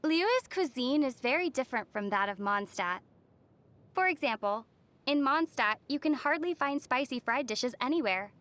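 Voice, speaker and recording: A woman voicing a young female adventurer in a video game, gentle and lightly teasing in manner. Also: high voice, expressive, normal speed. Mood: wry. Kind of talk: video game character acting.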